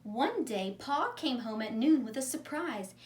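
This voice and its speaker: Higher-voiced woman teacher reading aloud, echoey room. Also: sing-song, a little slow. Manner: simple wording, addresses young listeners with questions. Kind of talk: read-aloud story time for young children. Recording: echoey room, distant mic, faint noise.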